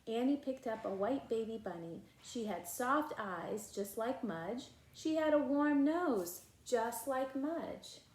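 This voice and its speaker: A woman reading a children's picture book aloud in an expressive, emphatic way, with casual asides. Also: a little high, slow pace, few pauses. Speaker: read-aloud storyteller. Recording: echoey room.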